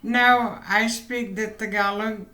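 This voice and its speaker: Slow-spoken woman, echoey room. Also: medium pitch, fairly even intonation, bright, forceful tone. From Philippines. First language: Ilocano. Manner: hesitant, searching for words, giggly. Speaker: vlog co-host. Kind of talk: casual chat.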